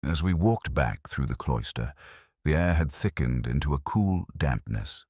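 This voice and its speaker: Very deep-voiced man narrating a detective mystery. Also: animated, a little mellow, slightly rough, no room sound, clean studio sound. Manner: measured, literary, reflective delivery. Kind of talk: first-person detective mystery audiobook narration.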